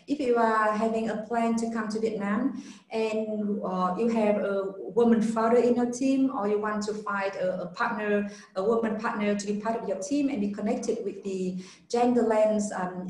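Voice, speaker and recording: A woman's voice: medium pitch, darkish tone. A woman presenting her organization in an online seminar, hesitant with many fillers. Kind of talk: webinar presentation. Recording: very echoey online call audio.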